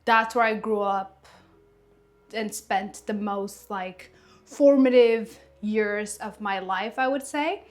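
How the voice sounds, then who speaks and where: A woman's voice: medium pitch, slow pace. A woman in her thirties from Ethiopia, chatting casually to camera at home while doing her skincare, rambling and correcting herself as she goes. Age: 30s. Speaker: lifestyle vlogger.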